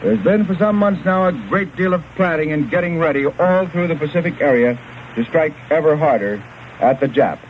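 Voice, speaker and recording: Through a narrow, crackly old radio transmission comes an American man with a fairly high voice. He reads scripted war news in a formal, measured 1940s correspondent style. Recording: noisy, narrow-band 1940s shortwave radio recording.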